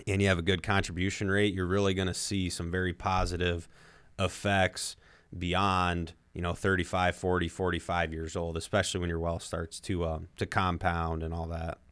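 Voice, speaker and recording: A man's voice: low voice, flat delivery, moderate pace, a bit bright, clean tone, no room sound. A young man co-hosting a personal finance show, offering advice conversationally as he thinks aloud. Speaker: co-host and financial advisor.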